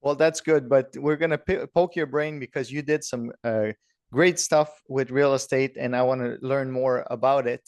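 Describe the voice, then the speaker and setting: A man's voice: medium pitch, steady tone, normal speed, darkish tone, smooth, no room sound. A man hosting a podcast, upbeat and direct as he asks questions. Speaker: podcast host. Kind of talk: podcast interview.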